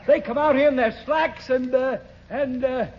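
High-pitched crotchety old grump. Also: male voice, steady tone, moderate pace. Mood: cranky, grumbling. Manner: crotchety, exclamatory, scolding. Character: cranky old waterworks superintendent, a grumbling woman-hater. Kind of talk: 1940s radio comedy acting. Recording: dull old radio recording.

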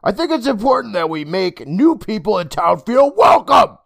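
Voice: mid-range voice, very animated, normal speed, gravelly, voice pushed up. A reader voicing a fussy, proper mother who talks to her son in a raised, prim tone. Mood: scolding.

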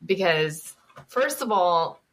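A woman's voice: lowish voice, animated, slow, fairly bright tone. A woman originally from Kashmir who moved to the US as a child, chatting casually and jokily as a comedy guest. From born in Kashmir, moved to the US at seven. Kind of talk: casual comic interview chat.

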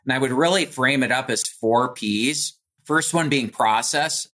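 A man's voice: mid-range voice, a little slow, sharp tone. An American man speaking as a company executive in an interview, explaining things conversationally in polished corporate language peppered with "you know". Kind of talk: business interview answer, conversational.

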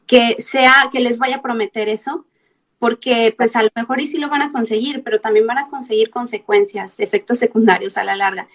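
A woman's voice: on the high side, a bit bright. A woman hosting an interview as a fellow nutritionist, asking questions and giving friendly advice.

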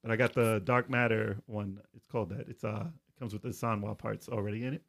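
Man, podcast co-host, quick talker, casual banter, laughs a lot. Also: lowish voice, steady tone, gravelly. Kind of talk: casual chat.

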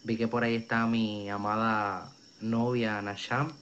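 A man's voice: lowish voice, flat delivery, unhurried, fairly bright tone. A young man in his twenties with a Puerto Rican accent, giving his testimony as an evangelist over a video call. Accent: Puerto Rican Spanish. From Puerto Rico. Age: 20s. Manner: earnest, preacherly, many fillers. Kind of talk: testimony in an interview. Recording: dull video call audio, faint noise.

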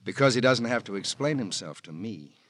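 An actor playing a brooding, loyal American confidant, speaking in halting, reflective, theatrical phrases in radio crime drama style. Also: male voice, lowish voice, expressive, fairly bright tone. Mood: earnest, protective. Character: brooding, loyal male confidant, protective older friend. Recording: faintly noisy old radio recording.

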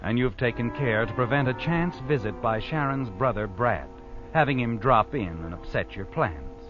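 A man's voice: mid-range voice, expressive, moderate pace, lightly acted. A man acting as the ominous, insinuating narrator of an old-time radio mystery, addressing the schemer as you. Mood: suspenseful. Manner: dramatic, insinuating. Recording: muffled old radio recording with music.

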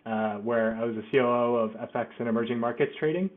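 A man's voice: mid-range voice, fast talker, phone-quality sound. A middle-aged man speaking as an interview guest, self-deprecating and often restarting his sentences. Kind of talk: casual interview.

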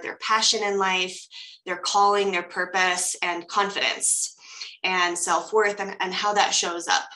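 Woman, American accent, bright crisp-edged tone, average pitch, personal storytelling, interview guest. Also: poor audio. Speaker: podcast guest, coach and dancer.

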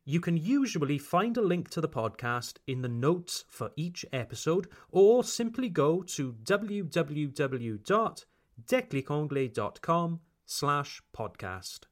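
A Welsh man who hosts an English-learning podcast. He speaks as a teacher, slowly and with careful articulation. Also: fairly high pitch, very animated. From Wales.

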